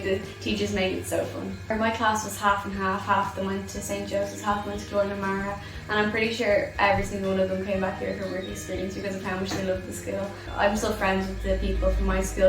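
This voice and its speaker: Irish teen girl interviewee, very even pitch, casual and rambling, distant roomy sound. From Ireland. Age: teens. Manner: chatty, lots of "like" fillers. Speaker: former student interviewee. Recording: echoey room, distant mic, music underneath.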